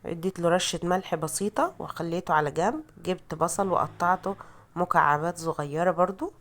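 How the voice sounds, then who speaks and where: An Egyptian woman narrates home cooking in a lowish voice at a quick clip. She is chatty and modest about her own skills, and her voice is thickened by a heavy cold. The style is a casual recipe voice-over. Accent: Egyptian Arabic. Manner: chatty, self-deprecating.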